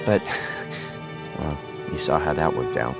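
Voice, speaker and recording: An actor playing a friendly, humbled young town fighter in a video game, speaking informally and a little hesitantly. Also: male voice, rumbling low, slow pace, vocal fry, music in the background, exaggerated voice. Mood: downcast, hesitant. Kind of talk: natural video game dialogue.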